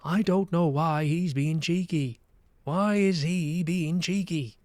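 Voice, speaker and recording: A man's voice: fairly high pitch, takes it slowly, a little mellow, no echo. A man chatting casually as he hosts a livestream, hesitant and musing aloud to himself. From Manchester, UK. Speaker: livestream host. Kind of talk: casual livestream chat while playing games.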